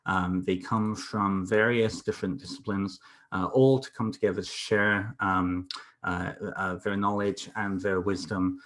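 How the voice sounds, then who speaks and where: British man, lowish voice, hesitant, many ums, computer mic on a video call. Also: little pitch movement, measured pace, fairly bright tone. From North Wales. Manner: hesitant, many fillers and restarts. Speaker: counsellor presenting a folklore talk. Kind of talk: live online presentation.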